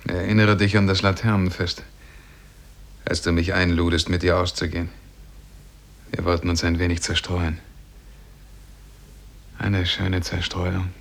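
Deep-voiced, lovesick melancholy man. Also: level tone, unhurried, gravelly, long pauses, fairly bright tone, full character voice. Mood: reproachful, wistful. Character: lovesick, melancholy man telling his youthful story. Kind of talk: 1950s German radio drama acting.

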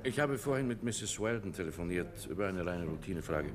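A man's voice: deep voice, expressive, a little fast. An actor playing a methodical, polite police inspector in a 1950s radio play, formal and courteous as he presses his questions.

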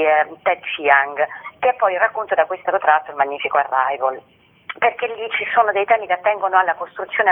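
A man giving an informal, wordy presentation of a theatre programme, often digressing and correcting himself. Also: high-pitched. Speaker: theatre company member presenting a festival programme. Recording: tinny, narrow phone or radio sound.

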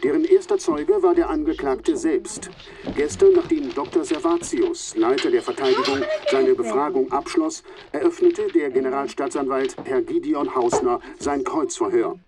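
Lively-pitched male radio reporter. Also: moderate pace, vocal fry, very noisy. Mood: formal, descriptive. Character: male radio reporter covering a war-crimes trial. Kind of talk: scripted radio reportage narration.

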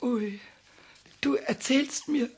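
A man's voice: very high-pitched, slow pace. A man playing a small, mischievous male kobold in a radio play, asking eager, stammering, childlike questions. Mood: excited. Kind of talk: 1960s German radio play acting.

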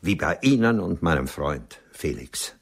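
An actor playing a melancholy older male writer in a radio play, confessing bitterly in a halting, resigned way, his breathy voice sinking toward a whisper. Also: low voice, sing-song, heavily acted.

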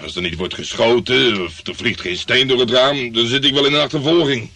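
A man's voice: mid-range voice, brisk, sharp tone. An actor playing a cocky, crude, womanizing private detective in a Dutch radio play, swaggering and teasing. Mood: cocky, irritated.

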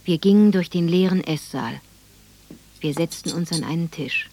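An actress playing a reflective woman recalling her childhood, narrating a radio drama in measured, plain sentences. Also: on the low side, mellow, a little hiss or hum, close to an everyday voice.

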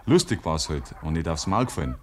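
An actor as a homely, wry village storyteller, narrating a 1950s radio play with a Bavarian accent. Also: male voice, rumbling low, sing-song, brisk, slight background noise.

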